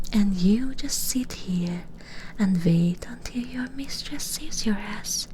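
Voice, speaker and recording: Woman acting slow, whispering, cruel vampire lady. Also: medium pitch, slight background noise, rough recording. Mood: mocking contempt. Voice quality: breathy, half-whispered. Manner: slow, menacing, mocking, addressing the listener directly. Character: cruel, vengeful immortal female vampire, sneering and taunting. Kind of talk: whispered ASMR audio roleplay. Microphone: close binaural microphone.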